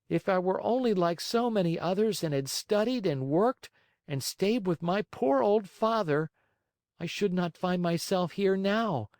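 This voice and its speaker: Man putting on a high-pitched, whiny puppet boy. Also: darkish tone, dry recording, clean studio sound, lightly acted. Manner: whining, pleading. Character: frightened, pleading, naughty wooden marionette boy.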